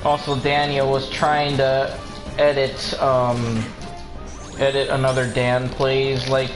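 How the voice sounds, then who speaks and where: A man's voice: mid-range voice, little pitch movement, takes it slowly. A man chats casually as he streams a video game, drifting into rambling little stories. His mid-range voice sits in a roomy echo, with faint music behind him. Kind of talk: casual livestream banter over video game.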